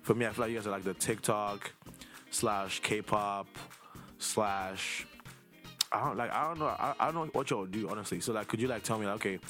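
A man's voice: lowish voice, normal speed, fairly bright tone, soft background music. A man in his twenties from Nigeria, hosting a casual interview in an informal, rambling way that circles around his questions. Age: 20s. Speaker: host interviewing a younger relative.

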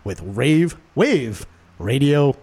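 Animated male sports announcer guest. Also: medium pitch, very slow, slightly rough. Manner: animated, playful, self-deprecating. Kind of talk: casual chat and promo read. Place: radio studio.

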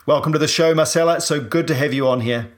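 A man's voice: on the high side, fast. Enthusiastic male podcast host. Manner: enthusiastic, repeats words when asking questions. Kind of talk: hosting an interview podcast.

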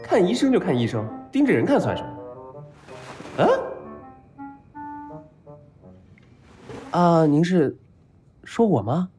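A man playing a flustered, lovestruck young patient in an audio drama, hesitant and stammering, given to melodramatic excitement. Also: a little high, normal speed. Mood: smitten, flustered. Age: young adult. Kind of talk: audio drama acting with inner monologue. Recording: roomy echo, music behind.

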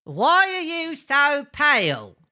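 A woman voicing a chatty, high-energy old man in a storybook, with a campy, stop-and-start delivery. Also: wildly swooping pitch, slow pace, pushed and bright, full character voice. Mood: inquisitive.

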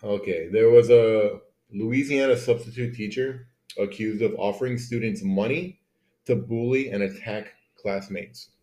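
A man's voice: lowish voice, a little slow, dark tone. A man co-hosting a podcast in casual banter, crude and teasing, often pushing with questions, recorded with an echoey room sound. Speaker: podcast co-host.

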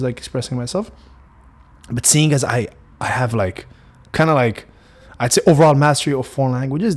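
A man's voice: medium pitch, a little mellow. A young man from Morocco with a Moroccan accent, Arabic his first language, talking through a casual solo podcast in a rambling way, full of restarts and fillers. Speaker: podcast host.

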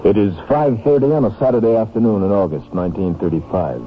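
A man reading measured, factual scripted narration for a 1950s radio drama. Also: fairly low pitch, very animated. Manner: measured, factual scene-setting. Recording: tinny, faintly noisy old radio recording.

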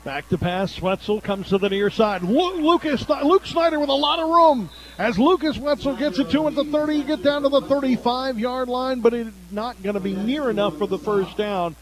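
A man's voice: very high voice, moderate pace, few pauses, poor audio. A man doing live play-by-play commentary of a football game for radio. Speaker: play-by-play announcer.

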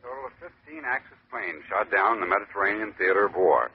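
A man playing a brisk, matter-of-fact morning newscaster, in 1940s radio announcing style. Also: on the low side, animated, very noisy. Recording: tinny narrow-band 1940s radio recording.

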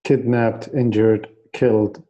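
Earnest Iraqi-born man, dark low voice. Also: little pitch movement, slow, muffled recording. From Iraq. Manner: earnest, repeats and restarts words. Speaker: guest. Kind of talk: casual interview.

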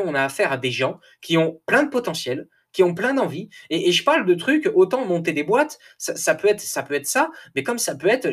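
A man hosting a podcast in a casual motivational monologue, animated, laughing often, repeating and restarting words, a little tired. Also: on the high side. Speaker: podcast host.

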